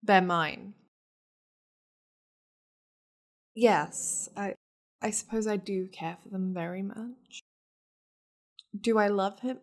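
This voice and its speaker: A woman plays a powerful demon lady in an intimate ASMR roleplay. She speaks slowly and languidly, with a fry-edged voice that slips into breathy half-whispers. Her manner is seductive, teasing and possessive toward her pet. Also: medium pitch. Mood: possessive, guarded.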